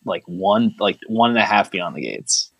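A man's voice: on the low side, very animated, normal speed, creaky, a bit bright, husky, no echo. A man co-hosting a podcast in casual chat, rambling and joking with a mock-serious manner. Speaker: podcast co-host.

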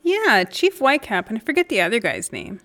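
A woman's voice: fairly high pitch, huge pitch swings, brisk, fairly bright tone. A woman acting in an audio drama as a candid, self-mocking friend chatting casually. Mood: reflective. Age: adult. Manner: casual, wry, frank. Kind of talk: naturalistic audio drama dialogue.

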